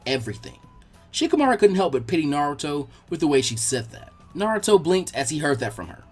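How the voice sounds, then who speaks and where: Male audiobook-style reader, animated and expressive scripted narration, music bed underneath. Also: on the high side, quick pace, husky. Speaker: fan fiction narrator.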